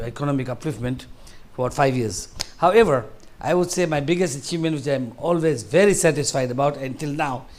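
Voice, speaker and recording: A man's voice: medium pitch, a little mellow. A man in his sixties from Tanzania, giving a conversational talk to a seniors group in a large hall, rambling and restarting his sentences. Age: 60s. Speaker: guest speaker.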